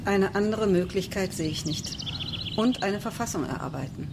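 A woman's voice: mid-range voice, expressive, moderate pace, vocal fry, noisy background. An actress playing a resolute woman leading a space colony in a radio play, insistent and earnest in standard German.

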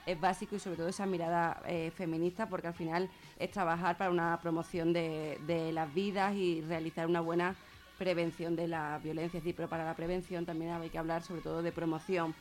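A woman's voice: lowish voice, little pitch movement, a little fast. A woman with a Spanish accent from Spain speaking informally as a radio guest, explaining things reflectively and repeating words for emphasis. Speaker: guest speaker on feminist therapy and gender violence.

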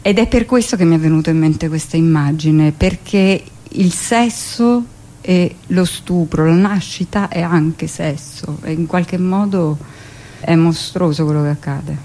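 A woman's voice: low-pitched, measured pace, warm tone. A woman speaking as a guest in a conversational radio interview, with a digressive way of talking full of asides. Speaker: radio guest, theatre director and performer.

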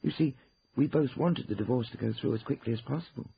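A man's voice: medium pitch, quick pace, vocal fry, highs cut off, a little hiss or hum, voice pushed up, close to an everyday voice. A man voicing a firm, indignant woman defending herself in an audiobook, insistent and emphatic. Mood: firm, defensive. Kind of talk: acted audiobook dialogue.

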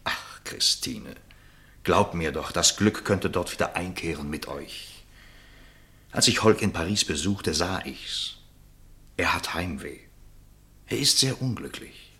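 A very deep, bright-voiced, urging gentleman. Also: animated, takes it slowly, long pauses, full character voice. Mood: earnest, pleading. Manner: earnest, persuasive, articulate. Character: concerned, urging gentleman confidant, worldly and wry. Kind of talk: German literary radio play acting.

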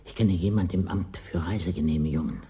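An actor in a German radio play playing a resigned, aloof property dealer, dry, curt and dismissive. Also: male voice, sits low, brisk, dark tone.

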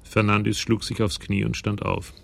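A man narrating a scripted crime story in a radio drama, with a gravelly voice. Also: on the low side, level tone, a little slow.

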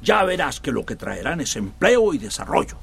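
A domineering middle-aged Central American father in a radio drama. His gravelly voice swings widely in pitch as he scolds and dismisses. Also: very animated, normal speed, a bit bright, exaggerated voice. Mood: angry, scolding. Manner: scolding, emphatic. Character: dismissive, domineering village father defending a company.

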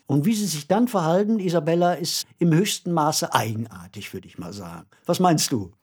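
Higher-pitched, husky man. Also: animated, normal speed. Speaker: podcast co-host and author. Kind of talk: conversational discussion of a radio play.

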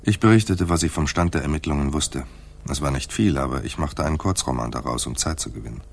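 An actor playing a world-weary, sardonic private detective who also narrates in a radio crime drama, with a dry, wry, clipped delivery. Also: male voice, extremely low pitch, fast talker, gravelly. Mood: brooding narration.

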